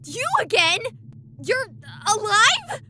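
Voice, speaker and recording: A woman's voice: very high-pitched, sing-song, slow, shouting, very noisy, exaggerated voice. Sarcastic young streamer girl. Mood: skeptical. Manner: sarcastic, flustered. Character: young woman streamer, skeptical and rattled. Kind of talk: visual novel voice acting.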